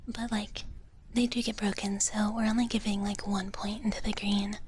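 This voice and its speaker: A woman streamer speaking in a soft, breathy whisper in a playful, casual live ASMR style, close to the microphone. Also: medium pitch, flat delivery, moderate pace, slight background noise. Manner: playful, speaks of herself in third person. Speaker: streamer performing as a dragon-girl character.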